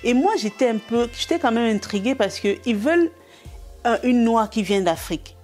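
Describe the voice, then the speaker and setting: A middle-aged woman from Cameroon with a Cameroonian French accent, a comedian telling anecdotes in an interview, animated and laughing often between restarts. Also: fairly high pitch, normal speed, soft background music.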